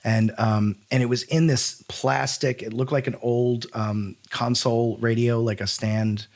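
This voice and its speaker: A man's voice: mid-range voice, steady tone, a little slow. A man with a mid-range voice hosts a casual interview podcast. He speaks informally, in loose, run-on sentences, like someone swapping stories. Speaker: podcast host.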